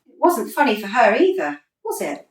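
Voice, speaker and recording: A woman's voice: on the high side, big pitch swings, normal speed. A woman playing an exasperated, earnest football manager in a radio comedy, patient and articulate with a dry sarcastic edge. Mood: dry, reproving. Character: earnest, exasperated female football manager coaching wayward players. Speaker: actor in a radio play. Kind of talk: radio comedy drama acting. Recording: roomy echo, distant from mic.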